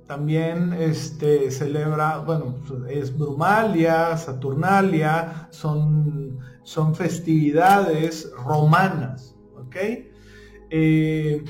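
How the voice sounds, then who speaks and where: Unhurried man with a roomy echo. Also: on the high side, fairly even intonation. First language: not Spanish (joking). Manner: checks in with listeners using ¿ok? and ¿sí?; halting, many fillers, stumbles over names. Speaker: spiritual teacher. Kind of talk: informal talk on symbolism. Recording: echoey room with faint music.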